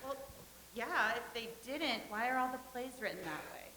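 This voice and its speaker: Earnest, lovestruck young actress with a bright voice, echoey room with faint hiss, as a woman plays her. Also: a little high, lively intonation, moderate pace. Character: dreamy young actress hoping to marry. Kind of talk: staged play reading.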